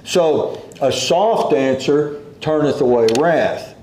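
A man preaching a sermon in an unhurried, folksy way, quoting scripture as he goes. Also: a little high, a little mellow, reverberant.

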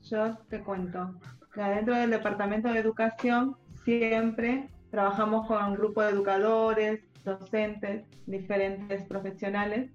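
Halting woman interpreting, roomy sound. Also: medium pitch, fairly even intonation. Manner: halting, many restarts and pauses. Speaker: sign language interpreter. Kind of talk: interview answers voiced for a deaf guide. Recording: roomy, dull lo-fi sound with faint music.

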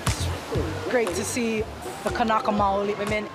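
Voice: medium pitch, unhurried, over loud music. A spectator speaking casually and with emotion in an interview.

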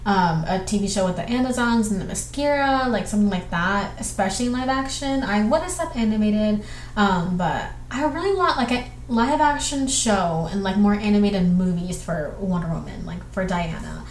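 A woman's voice: mid-range voice, expressive. Chatty young Southern woman, rambling. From southwestern Georgia, US. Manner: rambling, chatty, filler-heavy, quick bursts. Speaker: podcast host. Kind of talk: casual solo podcast answering listener questions. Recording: echoey room with faint background noise.

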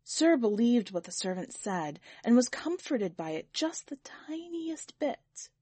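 A woman reading a short story aloud as an expressive narrator. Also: on the high side, unhurried, no echo. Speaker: podcast editor and story reader. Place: quiet, dry recording space.

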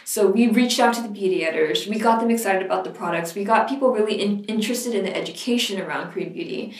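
A woman's voice: medium pitch, quick pace. A woman from the United States who founded a beauty company, speaking in an interview in a conversational way with many fillers. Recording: roomy sound from a distant mic. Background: soft background music.

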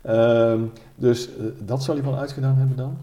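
A man's voice: mid-range voice, steady tone, moderate pace, dark tone. A man giving informal expert explanations in an interview, thinking aloud in hesitant pieces. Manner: hesitant, piecing thoughts together. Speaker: expert interviewee, military historian. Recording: echoey room, faint noise.

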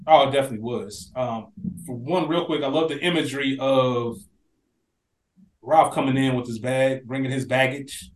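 A man's voice: medium pitch, moderate pace. Emphatic man in a roomy, echoey space. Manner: emphatic, profane, slangy. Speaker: podcast co-host reviewing a TV drama. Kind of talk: animated casual chat.

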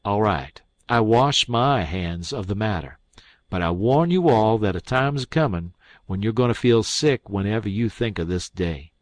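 A man's voice: medium pitch, animated, unhurried, dry recording, lightly acted. A man voicing a blunt, honest, indignant doctor in an audiobook, scolding and emphatic. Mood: grim warning. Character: blunt, indignant iron-jawed male doctor, stern and honest.

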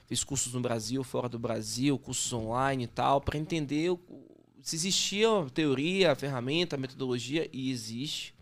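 A man in his thirties with a Bahian Brazilian accent and a mid-pitched voice. He talks as a podcast guest in a casual, conversational way, correcting himself often and dropping in slang. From Salvador, Bahia, Brazil. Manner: informal, halting with repeated self-corrections and slang. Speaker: podcast guest, sales consultant. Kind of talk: casual interview chat, telling career story.

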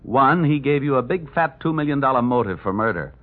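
A man's voice: medium pitch, expressive, brisk, lightly acted. An actor playing a wisecracking male insurance investigator who narrates, in 1940s radio drama style, dry and hard-boiled. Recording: muffled old radio broadcast with music.

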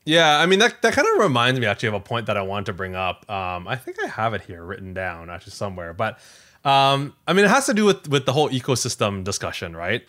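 Animated, bright-voiced man chatting. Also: lowish voice, quick pace. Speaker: podcast co-host. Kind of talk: casual tech podcast chat.

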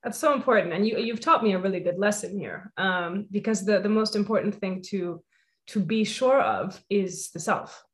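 A woman's voice: mid-range voice, moderate pace. A young woman hosting an interview, talking in a thoughtful, earnest way and filling her sentences with "you know" and "I think". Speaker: host. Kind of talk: reflective conversation.

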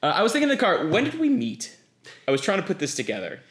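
A young man hosting a podcast, chatting casually in halting bursts with many restarts and "like". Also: high-pitched, wildly swooping pitch, a bit bright. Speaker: podcast host. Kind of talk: casual chat.

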